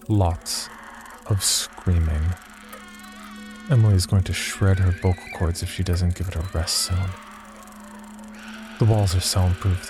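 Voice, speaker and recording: A man acting as a cold, detached psychology researcher, reading a sinister diary in a measured, clinical horror-story narration. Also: low voice. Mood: irritated, cold. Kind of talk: horror audio fiction, first-person diary narration. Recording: loud music bed under voice. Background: loud music.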